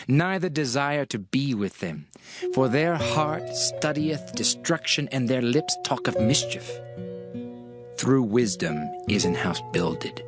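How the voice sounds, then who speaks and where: A man giving a dramatized scripture reading, solemn, measured and emphatic in his delivery. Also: lowish voice. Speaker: scripture narrator. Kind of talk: dramatized scripted Bible reading in archaic English. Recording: music playing under the voice. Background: music.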